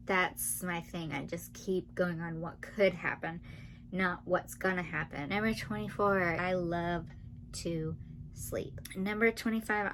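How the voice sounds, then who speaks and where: A woman in her twenties with a nasal, monotone voice, chatting fast and dryly as a vlogger counting off facts about herself. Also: fairly low pitch, slight background noise, poor audio. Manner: talks fast and a lot, dry and sarcastic. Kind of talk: casual talking-to-camera list video.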